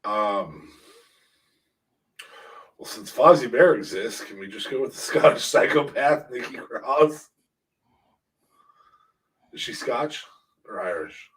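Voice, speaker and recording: A man hosting a podcast, chatting casually in a halting, playful way. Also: mid-range voice, lively intonation. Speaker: podcast host. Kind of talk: casual wrestling fantasy booking chat. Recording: voice distant from the mic.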